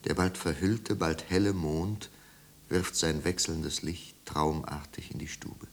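A man narrating a literary radio drama in a measured, grave and dramatic manner. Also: rumbling low, gravelly.

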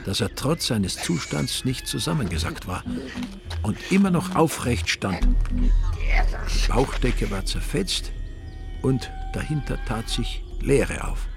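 An actor playing a wry, dryly comic storyteller with an Austrian accent, narrating a radio drama in a deadpan manner. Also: male voice, mid-range voice, slow, vocal fry, music in the background, full character voice.

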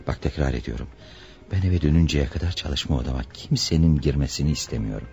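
A stern, middle-aged father from a radio play. His voice is rumblingly deep and full of gravel. He gives orders gravely and secretively. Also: fast talker, warm tone, faint music behind. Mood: grave, urgent. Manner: grave, commanding. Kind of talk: Turkish radio theatre acting.

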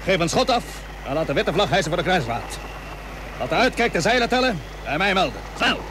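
Naval commander, historical radio drama: resolute, solemn, higher-pitched, very animated delivery, slightly noisy old recording. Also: male voice, fairly quick. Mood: urgent command. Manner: earnest, commanding, solemn, archaic formal wording. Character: resolute Dutch naval commander rallying his captains, 17th century. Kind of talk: Dutch radio play acting.

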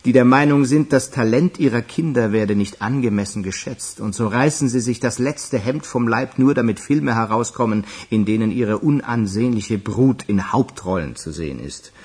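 Male actor as wry film producer, husky, medium pitch, ingratiating, radio crime drama. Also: moderate pace, hardly any pauses, darkish tone, natural voice.

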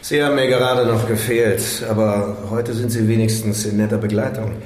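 An actor playing a jaded police inspector in a radio drama, dry, grudging and sardonic. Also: male voice, fairly low pitch, fairly even intonation, fairly quick, a little mellow, lightly acted. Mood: grudging, matter-of-fact. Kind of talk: German radio crime drama acting. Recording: echoey room with faint noise.

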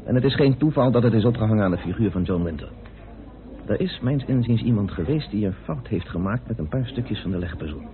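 An actor playing a composed, well-informed British parliamentarian turned sleuth in a Dutch radio play, explaining things in a measured, deliberate way. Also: male voice, lowish voice, animated, crowd noise, close to an everyday voice. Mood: pondering. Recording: narrow, phone-like old radio recording.

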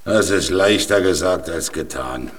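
A weary old drifter for a children's radio play. His voice is low and rough, set a little back from the microphone. He talks plainly and with resignation, like one who has accepted his lot. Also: distant mic. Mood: wry, wistful. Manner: resigned, slow to be impressed, plain-spoken. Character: resigned, weary old male vagabond in a jail cell.

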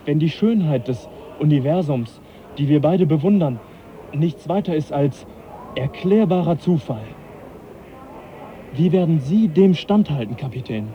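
An actor playing the young naturalist Charles Darwin, earnest and measured, in a radio play. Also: male voice, fairly high pitch, animated. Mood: persuasive. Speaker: scientist lecturing. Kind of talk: 1980s German radio play acting. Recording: dull lo-fi radio recording, faint hiss.

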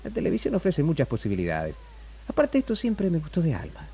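Intensely animated, troubled Argentine man. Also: a little high, a little fast, vocal fry, exaggerated voice. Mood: troubled, brooding. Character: troubled, self-doubting young man, television camera director. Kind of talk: 1960s Argentine radio drama acting.

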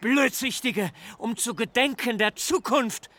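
An actor playing a wrathful, grieving old patriarch who curses with anguished outcries in an audiobook drama. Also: male voice, mid-range voice, animated, extremely slow, creaky, dry recording, heavily acted. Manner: furious cursing, rising to anguished outcries.

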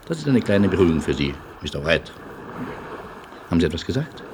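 A very deep-voiced refugee man, nervous yet defiant. He muses in rhetorical, gently ironic turns, as in an old radio play, over light recording noise. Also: big pitch swings, brisk. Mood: wry, earnest. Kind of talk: classic German radio play acting.

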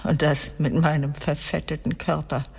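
An actress playing a bitter, broken middle-aged wealthy woman confessing in a radio drama, with a husky, creaky voice and halting, weighty delivery. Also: sits low, level tone, exaggerated voice. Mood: bitter, agitated. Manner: slow, halting, weighty delivery with breathy drops. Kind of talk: dramatic radio play monologue. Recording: long reverberant hall sound.

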